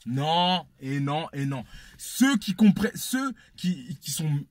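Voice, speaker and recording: A man's voice: a little high, big pitch swings, slow pace, no room sound. A man, giving his opinion emphatically in a casual debate and repeating phrases for effect. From Congolese descent. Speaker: panel speaker.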